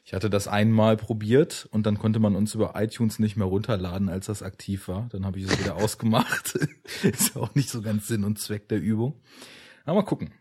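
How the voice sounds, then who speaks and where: A man's voice: on the low side, steady tone, fairly quick, darkish tone, breathy edge. A man hosting a podcast, talking casually about films with his guests. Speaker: podcast host. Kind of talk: casual film podcast talk.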